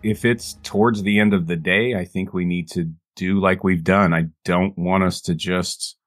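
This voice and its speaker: A man's voice: low voice, fairly even intonation, normal speed. A man playing a tabletop game, chatting casually about the group's moves. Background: background music.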